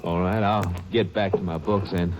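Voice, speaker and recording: A man's voice: sits low, steady tone, moderate pace, a little mellow, a little hiss or hum, lightly acted. An actor playing an easygoing sawmill foreman in an old radio drama, with a folksy drawl. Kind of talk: 1950s radio drama acting.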